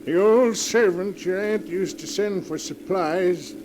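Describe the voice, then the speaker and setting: A man's voice: high voice, slow. A man playing a folksy, hesitant village cab driver with rustic grammar, in 1940s radio drama style. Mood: matter-of-fact. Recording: noisy old 1940s radio recording.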